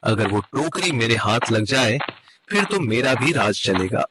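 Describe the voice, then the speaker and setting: A man's voice: medium pitch, expressive, a little slow, background noise, close to an everyday voice. A man playing a greedy village husband in a cartoon fable, eager and dramatic in his delivery. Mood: covetous. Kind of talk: cartoon moral story acting.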